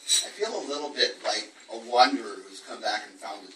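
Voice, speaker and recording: A man's voice: medium pitch, lively intonation, normal speed, heavy background noise. Male poet for a live book-launch reading, wry and wordy, mid-range voice, heard far from the mic in an echoey room. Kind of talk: live poetry reading with informal introductions. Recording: roomy, distant event microphone.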